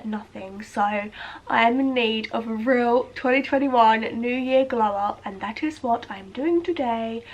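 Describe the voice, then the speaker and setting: A woman's voice: a little high, rough recording. A young British woman vlogging casually from home, congested and nasal from a cold, rambling with lots of fillers while grooming her eyebrows. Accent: British English. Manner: chatty, rambling, filler-heavy. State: congested, blocked nose. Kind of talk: casual chat to camera. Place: at home, indoors.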